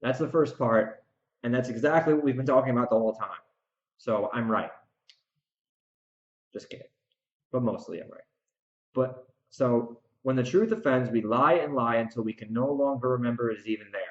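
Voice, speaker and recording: Casting: man, mid-pitched voice, solo podcaster, preachy and repetitive for emphasis, roomy lo-fi recording. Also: normal speed, pauses often. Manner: emphatic, preachy, repeats phrases for stress. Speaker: podcast host and author. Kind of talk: rambling solo podcast commentary. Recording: roomy echo, slightly dull lo-fi sound.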